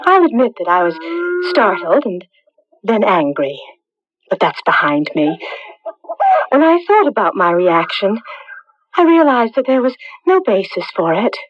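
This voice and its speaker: Earnest radio-drama woman, very expressive. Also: mid-range voice, unhurried, long pauses, no echo. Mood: composed. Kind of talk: 1980s radio drama acting. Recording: muffled old radio broadcast.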